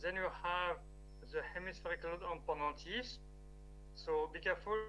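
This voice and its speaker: A man with a strong French accent, giving an online technical lecture with a steady, explaining manner and the odd restart. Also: high voice, moderate pace, faint music behind, low-quality recording. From France. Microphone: video call.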